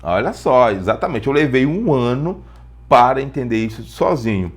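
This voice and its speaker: A man's voice: medium pitch, sing-song, moderate pace, breathy edge. A man with a Brazilian accent giving an informal lesson as an English teacher, explaining through personal stories, repeating phrases for emphasis and posing rhetorical questions.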